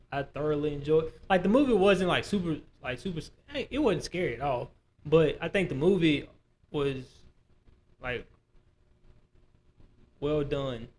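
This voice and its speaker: A man with a slightly high voice chats as a podcast co-host. His talk is informal and loosely phrased, recorded over faint background noise. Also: darkish tone. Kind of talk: casual film discussion.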